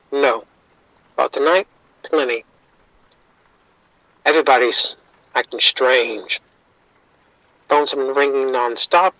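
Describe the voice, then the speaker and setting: A man's voice: mid-range voice, a bit bright, dry recording, lo-fi sound, close to an everyday voice. An actor playing a streetwise male bookie informant, wry and defensive, talking around the point in an audio drama.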